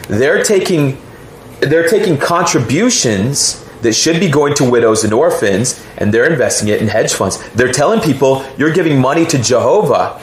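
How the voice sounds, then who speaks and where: A man's voice: medium pitch, lively intonation, moderate pace, a little hiss or hum. A man in casual, opinionated conversation, speaking emphatically and indignantly and often repeating himself for stress. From United States. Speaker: host. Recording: echoey room.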